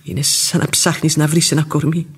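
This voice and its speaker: An actress playing a devoted, outspoken housekeeper and old nursemaid in a radio play, husky-voiced and expressive. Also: female voice, sits low. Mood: sorrowful, resolute. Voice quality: very husky, breathy. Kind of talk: classic radio theatre acting.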